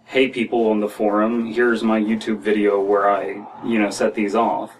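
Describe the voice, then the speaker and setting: A man's voice: fairly low pitch, flat delivery, moderate pace. A young American man hosting a podcast with casual, rambling commentary in a room at home. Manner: rambling, peppered with "like" and "you know". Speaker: podcast host commenting on stories. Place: small room at home, indoors. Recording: distant mic with room noise.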